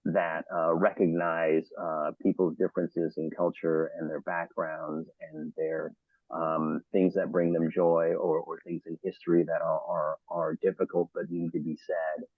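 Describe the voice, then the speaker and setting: A deep-voiced man talks on a panel in a halting way, full of ums and repeated words. His voice comes through dry and narrow, like a phone call. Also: flat delivery, slightly rough, no echo. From Los Angeles area, United States. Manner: halting, many fillers and restarts. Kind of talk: panel discussion. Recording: thin phone-like audio.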